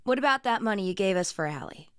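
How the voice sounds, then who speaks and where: A woman's voice: mid-range voice, animated, fast, a bit bright, clear, resonant tone, dry recording, studio quality, lightly acted. A woman playing a blunt, protective, no-nonsense sister with an American accent in a naturalistic radio drama. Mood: blunt, skeptical. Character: blunt, protective adult sister, Texan mother.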